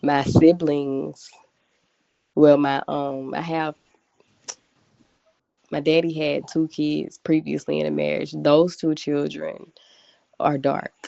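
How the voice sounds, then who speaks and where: A woman in her early thirties, a guest on a casual group podcast over a call, speaking in a slow, relaxed, thoughtful way. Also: low-pitched, creaky, dry recording, muffled recording. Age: 30s.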